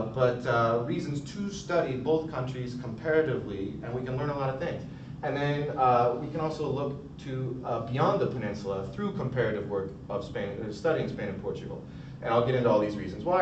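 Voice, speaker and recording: Distant echoey young man giving a talk. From United States. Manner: casual, chatty, many fillers and restarts. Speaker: academic conference speaker. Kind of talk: recorded conference talk. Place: college room. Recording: distant, echoey, dull, noisy rumble.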